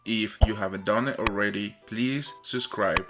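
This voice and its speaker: A man's voice: lowish voice, moderate pace. A man giving a channel intro announcement as its presenter. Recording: loud music over the voice.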